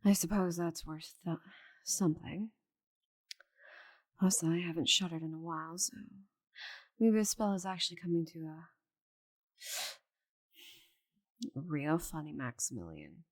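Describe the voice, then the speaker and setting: A woman voicing a proud, stubborn, bitter female dragon in a dramatic audio roleplay, with a breathy voice and haughty, sighing delivery. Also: fairly low pitch, expressive, normal speed, pauses often. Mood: resigned, grudging. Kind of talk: scripted audio roleplay monologue.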